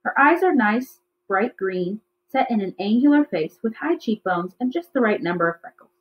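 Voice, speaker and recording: Female teacher for children's novel read-aloud, mid-pitched, slightly lo-fi sound. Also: expressive, normal speed. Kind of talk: read-aloud storybook narration. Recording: slightly dull, lo-fi recording.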